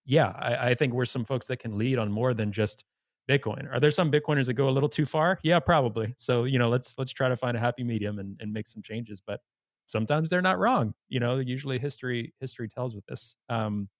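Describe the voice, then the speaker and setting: A man's voice: mid-range voice, a little fast, no echo, clean studio sound. A man hosting a podcast in an informal, blunt conversational manner. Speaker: podcast host. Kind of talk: conversational interview.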